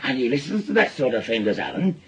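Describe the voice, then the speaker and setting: An actor playing a bitter, menacing wronged husband in a radio drama, with a Northern English accent and a goading, rambling way of telling things. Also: male voice, mid-range voice, big pitch swings, quick pace, a bit bright, a little hiss or hum. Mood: sardonic, bitter. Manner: goading, rambling storyteller with taunting tag questions. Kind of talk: naturalistic radio drama acting.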